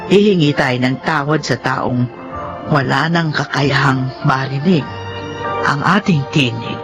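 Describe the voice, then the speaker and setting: A woman's voice: a bass voice, unhurried, music in the background. An actress playing a remorseful older woman telling her life story in a Filipino radio drama, emotional and pleading. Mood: regretful, solemn.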